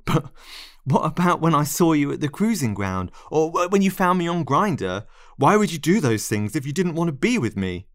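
A man's voice: a little high, slow pace, hardly any pauses, no echo, clean studio sound, pitched above the performer's own voice. A man acting out a lovesick man who pleads desperately and refuses to accept rejection, in a dramatized monologue. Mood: hurt, insistent.

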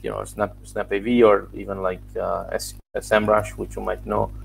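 Gravelly-voiced man explaining. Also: on the low side, level tone, moderate pace, a little hiss or hum, rough recording. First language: Italian. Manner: explanatory, walks through examples step by step. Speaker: guest, software founder. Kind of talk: casual interview answers.

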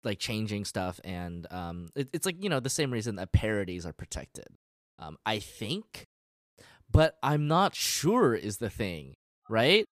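Animated, rambling man podcast co-host. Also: on the low side, measured pace, dry recording, studio quality. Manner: rambling, hesitant explainer with many hedges. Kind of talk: casual podcast chat.

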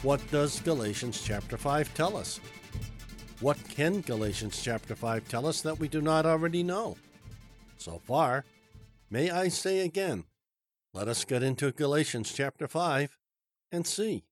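A congested male pastor giving a Bible study lesson in a formal, deliberate way. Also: a little high, animated, soft background music.

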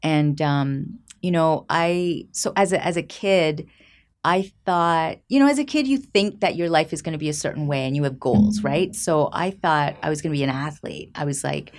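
A woman's voice: deep voice, normal speed. A woman from Canada chatting casually and upbeat as an interview guest, in slangy everyday language. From Canada, near Toronto.

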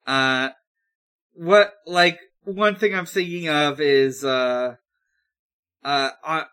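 A man's voice: on the high side, extremely slow, bright, forceful tone, smooth. A man co-hosting a podcast in casual chat, hesitant and often thinking aloud. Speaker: podcast co-host.

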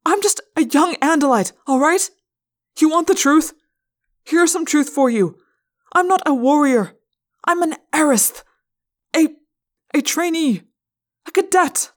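Woman voicing an anguished, high-pitched young alien cadet. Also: animated, measured pace, pauses often. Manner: defensive, frustrated, pleading. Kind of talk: audiobook character voice.

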